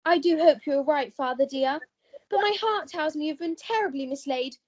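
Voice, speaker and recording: A woman plays a sweetly innocent young heiress in a radio melodrama. Her voice is extremely high and full of lovelorn drama, on a slightly dull recording. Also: normal speed, lightly acted. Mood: despairing. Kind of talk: amateur melodrama radio play acting.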